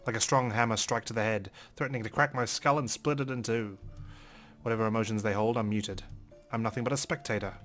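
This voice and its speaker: A man hosting a let's play, reading a visual novel aloud in a measured, theatrical way. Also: on the low side, fairly even intonation, a bit bright, faint music behind. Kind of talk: dramatic read-aloud narration of a visual novel with commentary.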